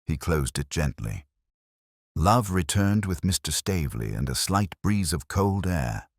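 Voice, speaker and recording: A man's voice: sits low, big pitch swings, unhurried, no room sound, studio quality. A man reading scripted mystery fiction as a narrator, with a wry, literary storytelling manner.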